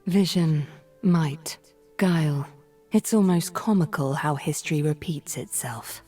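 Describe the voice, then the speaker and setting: Husky, scheming sorceress. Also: female voice, sits low, mellow, faint music behind. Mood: cool, calculating. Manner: measured, cryptic, imperious. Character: powerful, scheming female sorceress, leader of a secret sisterhood. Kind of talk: video game character voice lines.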